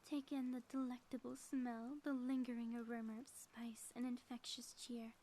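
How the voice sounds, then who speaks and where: Girl doing a villainess wife for asmr roleplay, high n mellow, dramatic taunting, super flowery wording. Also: flat delivery, moderate pace, close to an everyday voice. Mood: grandiose menace. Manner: florid, grandiose villain diction. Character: theatrical ex-villain woman, gloating, mock-menacing, playfully taunting spouse. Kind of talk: scripted audio roleplay monologue, ASMR.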